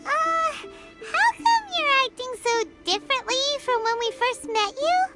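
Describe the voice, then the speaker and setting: Tiny, very squeaky fairy companion. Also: female voice, sing-song, measured pace, exaggerated voice. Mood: hesitant, curious. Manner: childlike, earnest, exclamatory. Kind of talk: video game character acting. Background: prominent background music.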